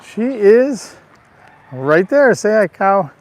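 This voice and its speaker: A man with a raspy, allergy-roughened voice chatting casually to viewers while walking outdoors, recorded on a handheld phone. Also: sits high, big pitch swings, takes it slowly. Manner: chatty, greets viewers by name. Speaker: livestream vlogger. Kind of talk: casual live walking tour. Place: outdoors on a town street.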